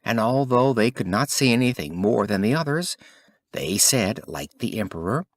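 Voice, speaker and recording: A man reading a scripted fairy tale as an audiobook narrator, in an expressive, theatrical storytelling manner. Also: lowish voice, normal speed, no room sound, studio quality.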